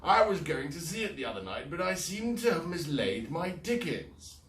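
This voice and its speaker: A man's voice: a little high, sing-song, normal speed, fairly bright tone, voice pushed up. A man voicing a bashful, polite doctor who sounds flustered. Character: bashful, fumbling middle-aged male doctor. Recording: roomy echo.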